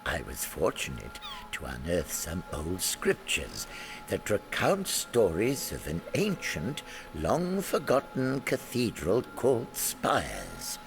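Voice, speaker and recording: An actor playing a grave, learned explorer of ancient lore in a video game, speaking in a measured, solemn storytelling manner. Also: male voice, lowish voice, creaky, full character voice. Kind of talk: video game character acting. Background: music.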